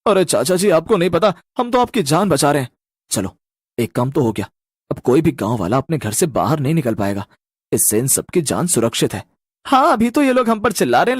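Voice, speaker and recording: A man's voice: on the high side, slightly rough, no echo, clean studio sound. A man voicing foolish, earnest young village men in a cartoon story, eager and emphatic. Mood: self-satisfied.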